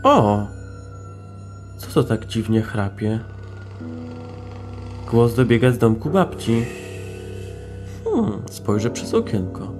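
Animated forester played by a man. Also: mid-range voice, slow, long pauses, faint music behind. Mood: curious, resolute. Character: curious, brave male forester. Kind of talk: fairy-tale audio drama acting.

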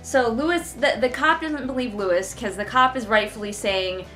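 A woman's voice: fairly high pitch, normal speed, a bit bright, faint music behind. A young woman giving casual, sarcastic commentary as she reads along with a book, in an exasperated, informal way. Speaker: book reviewer reading along. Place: living room couch, indoors. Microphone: camera a short distance away.

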